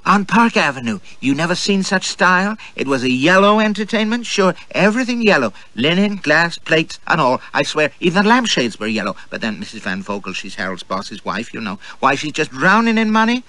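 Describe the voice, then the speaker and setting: A man's voice: on the high side, big pitch swings, a little fast, hardly any pauses, pushed and bright, no echo, voice pushed up, rough recording. A man voicing a chattering, gossipy young working girl in an audiobook. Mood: excited gossip.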